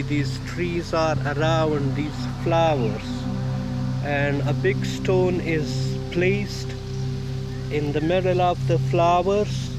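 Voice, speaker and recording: Slow, hesitant man over loud music. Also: fairly high pitch. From Afghanistan. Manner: hesitant, many "uh" fillers. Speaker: vlogger. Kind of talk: casual walking tour vlog. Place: outdoor park. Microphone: phone held while walking.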